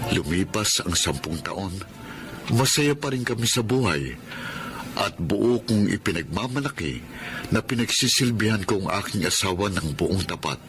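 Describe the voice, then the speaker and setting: An actor playing a devoted, emotional husband in a radio drama, earnest and heartfelt in a melodramatic way. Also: male voice, deep voice. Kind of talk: Filipino radio drama acting and first-person narration.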